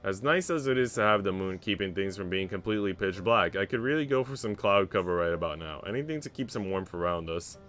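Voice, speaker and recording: A man's voice: low-pitched, animated, quick pace, few pauses, fairly bright tone. A young man streaming a visual novel in a laid-back, slangy way, switching between casual chat and reading aloud. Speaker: game streamer. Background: music.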